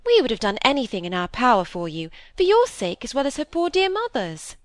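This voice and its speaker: Lady narrator doing a blunt older spinster sister in an old novel, excitable, swoopy, dry close sound. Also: a little high, no room sound, voice pushed up. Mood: exclaiming. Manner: brisk, exclamatory, interrupting.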